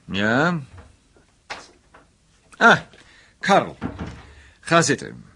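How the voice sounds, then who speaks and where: A man playing a brisk, authoritative boss in a radio drama, giving clipped orders. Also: medium pitch, wildly swooping pitch, vocal fry, bright, forceful tone, slight background noise, heavily acted. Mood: brisk, businesslike.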